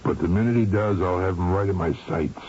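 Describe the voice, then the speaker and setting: An actor playing a cocky, menacing hired assassin in a 1970s radio drama. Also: male voice, deep voice, brisk, natural voice. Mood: cocky, conspiratorial. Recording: muffled, very noisy 1970s radio recording.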